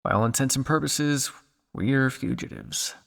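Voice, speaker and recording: Man acting a weary, gravelly vampire scientist. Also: mid-range voice, unhurried, big-room sound. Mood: somber, dry. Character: weary, guilt-ridden centuries-old male vampire scientist on the run. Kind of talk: ASMR roleplay acting, addressing the listener.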